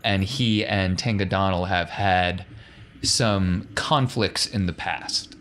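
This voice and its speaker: A man's voice: low voice, takes it slowly, a bit bright. A man working as game master, improvising narration and rules in an informal, colloquial way. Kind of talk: improvised tabletop game narration and casual chat. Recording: clear background noise.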